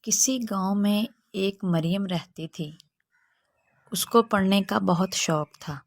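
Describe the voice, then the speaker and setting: A woman's voice: mid-range voice, takes it slowly, no room sound, studio quality. A woman storyteller reading scripted narration for a children's moral story.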